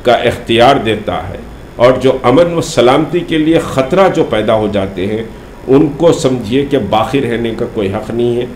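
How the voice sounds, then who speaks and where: A man gives an unscripted political statement to the press, speaking deliberately and haltingly with frequent pauses. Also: mid-range voice, darkish tone. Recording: roomy echo, faint background noise.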